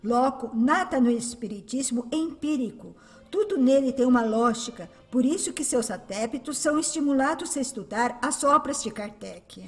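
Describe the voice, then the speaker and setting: Woman playing wise female spirit, serene, instructive, fairly high voice, long reverb. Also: animated, normal speed. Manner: instructive, solemn. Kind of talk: radio drama acting.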